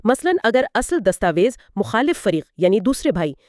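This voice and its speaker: Casting: female educational co-host, animated intonation, didactic step-by-step delivery, close dry sound. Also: mid-range voice, normal speed, no room sound. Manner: didactic, explains step by step with examples. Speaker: co-host explaining law. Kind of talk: explanatory educational dialogue.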